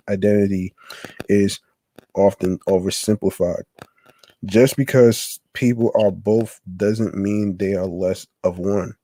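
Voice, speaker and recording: A man hosting a podcast, reading a text aloud haltingly, stumbling and restarting on words. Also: fairly low pitch, mellow, dry recording. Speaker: podcast host.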